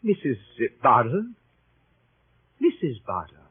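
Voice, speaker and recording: An actor playing a flustered, kindly English gentleman who hems and haws pompously, in 1930s radio style. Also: medium pitch, huge pitch swings, takes it slowly, husky, exaggerated voice. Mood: hesitant, coy. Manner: hesitant, pompous, hemming and hawing. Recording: muffled, tinny 1930s radio broadcast.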